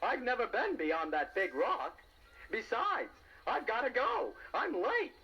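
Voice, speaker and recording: A man's voice: extremely high pitch, huge pitch swings, measured pace, bright, forceful tone, highs cut off, slight background noise, heavily acted. A man voicing a chatty, easygoing snail in a children's audio storybook, jaunty and matter-of-fact. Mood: easygoing, curious.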